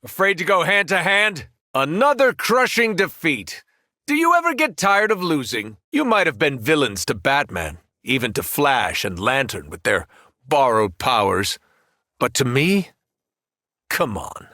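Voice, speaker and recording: An actor playing a powerful, cruel superhero turned villain in a video game, arrogant and taunting. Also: male voice, on the high side, very animated, unhurried, bright, forceful tone, no echo, clean studio sound, full character voice. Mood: mocking menace, then arrogant contempt. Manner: taunting, menacing, condescending.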